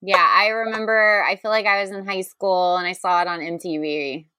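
A woman's voice: medium pitch, fairly even intonation, moderate pace, sharp tone, smooth, no room sound. A woman in her thirties chatting casually as a comedy podcast co-host, goofy and exclamatory. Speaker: podcast co-host and game contestant.

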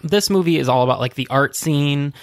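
A man's voice: on the high side, brisk, slight background noise. A man co-hosting a podcast, casually giving frank opinions on movies, with fillers. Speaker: podcast co-host. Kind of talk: casual chat reviewing movies.

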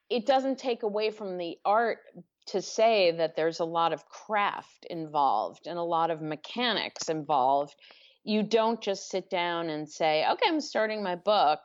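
A woman author answers interview questions at an unhurried pace, stopping to correct herself as she thinks. Her voice sits a little low. The recording is dull and lo-fi. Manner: thoughtful, halting, self-correcting. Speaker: author guest. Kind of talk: podcast interview.

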